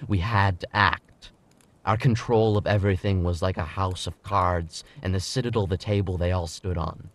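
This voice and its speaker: An actor playing a grim, bitter henchman who narrates an audio drama in a measured, brooding way. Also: deep voice, steady tone. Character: grim, bitter male henchman recounting events.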